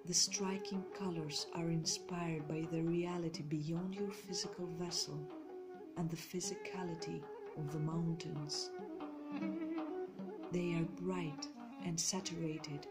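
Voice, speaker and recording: A woman leads a guided meditation in a slightly low voice. She speaks very slowly and deliberately, leaving pauses between soothing, measured phrases. Music plays clearly behind her.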